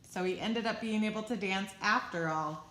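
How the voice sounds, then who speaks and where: A woman teacher reading a picture book aloud to young children with animated, emphatic storytelling. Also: mid-range voice, moderate pace, slight background noise. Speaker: preschool teacher reading stories. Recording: roomy, echoey sound.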